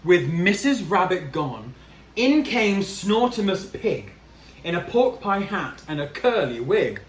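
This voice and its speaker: A man's voice: high-pitched, sing-song, slow. A man reading a children's picture book aloud as a drag storyteller, theatrical and chatty, speaking straight to young viewers. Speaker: storyteller, drag queen host. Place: small plain room indoors. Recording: echoey room, light background noise.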